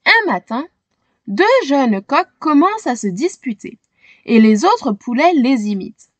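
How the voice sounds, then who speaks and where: High, slow, lively woman storyteller. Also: dry recording. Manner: animated, dramatic, addresses young listeners. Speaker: storyteller reading a children's book aloud. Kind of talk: expressive scripted storybook narration.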